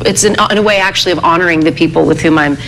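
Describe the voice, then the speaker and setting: A woman's voice: lowish voice, rapid-fire. A woman actress chatting as an interview guest, reflective and self-deprecating, laughing easily. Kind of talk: casual interview chat. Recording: noisy recording.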